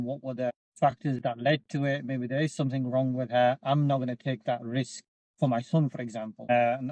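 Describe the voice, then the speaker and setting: A man talking in a casual podcast discussion, thoughtful and measured, with frequent fillers as he works through his view. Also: medium pitch, mellow, no echo, low-quality recording. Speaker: podcast co-host.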